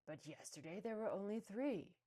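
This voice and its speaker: A woman's voice: mid-range voice, expressive, moderate pace, warm tone, pitched above the performer's own voice, lightly acted. A woman voicing a nosy, skeptical female fox neighbor in a storybook read-aloud, questioning and disapproving. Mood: incredulous.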